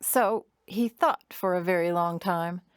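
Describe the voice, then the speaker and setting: A woman narrating a children's storybook audio drama in an expressive storytelling manner. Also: lowish voice, unhurried, smooth, no echo, clean studio sound, close to an everyday voice.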